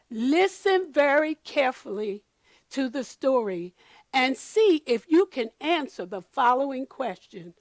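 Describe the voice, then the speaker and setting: A woman reading a picture book aloud to children, deliberate and expressive, pausing to comment to her listeners. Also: high voice, no echo. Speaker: storyteller for children.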